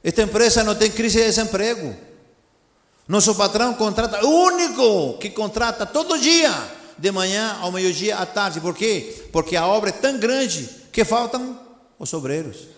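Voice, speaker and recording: A man's voice: high voice, moderate pace. Missionary guy w spanish accent preaching, acts out the convo, voice rings around a big echoey church. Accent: Spanish-speaking. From Latin America. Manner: animated, acts out dialogue, addresses congregation directly. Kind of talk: storytelling sermon. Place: church sanctuary.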